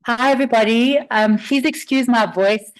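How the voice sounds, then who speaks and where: A woman in her fifties with a South African accent, hoarse and raspy from the flu, sharing her story on a group call. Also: fairly high pitch, moderate pace, rough recording. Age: 50s.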